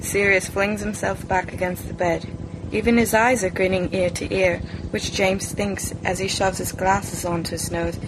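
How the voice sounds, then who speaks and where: A woman reads fiction aloud in a mid-pitched voice, with an expressive storytelling delivery. The recording is homemade, with clear noise and outdoor traffic-like ambience behind her. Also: moderate pace. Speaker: amateur audiobook reader. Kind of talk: expressive scripted fiction narration.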